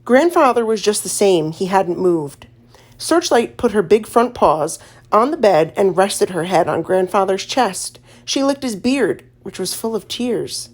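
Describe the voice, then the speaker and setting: A woman reading a children's story aloud as an audiobook narrator, in an expressive storytelling manner. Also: medium pitch, unhurried.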